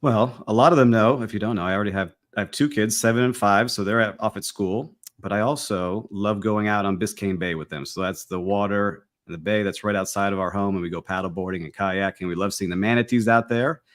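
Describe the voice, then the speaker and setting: A man co-hosting a live show, with a voice that sits somewhat low. He talks in a relaxed, agreeable way and keeps his replies short and friendly. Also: a little fast, few pauses. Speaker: podcast co-host. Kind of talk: casual live-show chat.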